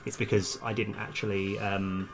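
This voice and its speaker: A man's voice: on the low side, level tone, moderate pace, vocal fry, music in the background, rough recording. A man doing casual live-stream commentary as a game developer, thinking aloud in a hesitant, self-correcting way.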